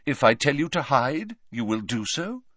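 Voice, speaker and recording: An old wizard headmaster acted by a man reading a storybook, commanding yet calmly courteous. He speaks formally and with measured weight, his pitch moving expressively in a dry, close recording. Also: dry recording. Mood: grave, commanding. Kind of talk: dramatised audiobook reading.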